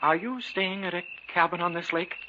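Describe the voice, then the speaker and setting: A man's voice: high voice, moderate pace, natural voice. An actor playing a strange, anxious, formally polite man in an old radio horror drama. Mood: insistent, eerie. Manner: odd, formal, courteous speech. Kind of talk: 1940s radio horror acting. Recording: muffled, lightly noisy old radio recording.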